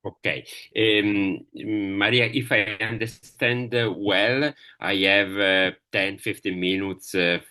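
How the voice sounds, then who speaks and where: A man with a very low voice and an Italian accent speaks slowly on a discussion panel. He talks in halting English, pausing often and filling the gaps while he searches for the next word. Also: steady tone, bright, forceful tone. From Italy. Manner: halting, many fillers, searching for words. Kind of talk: panel talk.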